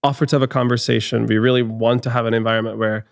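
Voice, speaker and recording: A man's voice: medium pitch, little pitch movement, rapid-fire, vocal fry, no room sound. A man in his thirties with a Hungarian accent, a guest in a studio podcast interview, speaking thoughtfully with frequent restarts. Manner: thoughtful, many restarts and fillers. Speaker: guest, HR executive.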